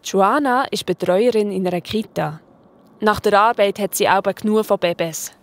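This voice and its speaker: A woman's voice: mid-range voice, normal speed. A woman with a Swiss accent narrating a children's story in a colloquial style.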